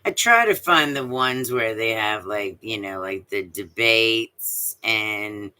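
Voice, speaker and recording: A woman with a deep voice chatting casually on a live stream, rambling with many fillers and restarts. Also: takes it slowly, pushed and bright. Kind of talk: casual chat.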